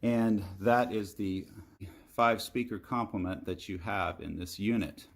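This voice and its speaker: Guy doing a product review, really slow and methodical, lowish voice, walks thru stuff step by step. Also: steady tone, gravelly. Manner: measured, methodical explaining. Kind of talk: product demonstration narration.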